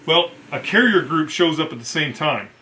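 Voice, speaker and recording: A man with a forceful, bright voice, a little higher than average, tells military stories as a veteran. He talks casually and colloquially, swears, and acts out what people said. There is faint noise behind him. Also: normal speed. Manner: profane, colloquial, animated retelling. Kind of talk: casual storytelling with acted-out quotes.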